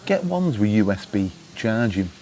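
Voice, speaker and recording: A man's voice: fairly low pitch, big pitch swings, moderate pace, darkish tone, low-quality recording. Chatty British man with wry humour, some background noise. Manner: jokey, wry storytelling with mock exasperation. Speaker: vlog host giving travel gadget tips. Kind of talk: casual chat to camera.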